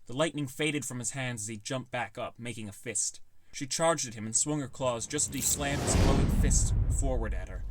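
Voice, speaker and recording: A man's voice: mid-range voice, slight background noise. A man reading scripted first-person fantasy narration as an audiobook narrator.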